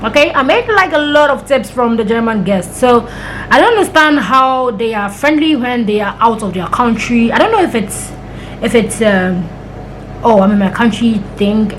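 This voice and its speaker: A woman's voice: on the high side, animated, moderate pace, slight background noise, poor audio. A young woman from Ghana, chatting and rambling through stories to her camera. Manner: chatty, rambling storytelling with acted-out quotes. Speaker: vlogger. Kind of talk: casual chat to camera.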